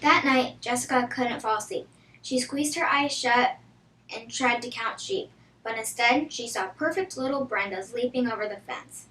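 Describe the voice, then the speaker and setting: A woman's voice: a little high, normal speed, sharp tone. A woman reading a children's story aloud from a book. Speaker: storybook reader. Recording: echoey room, distant from the microphone.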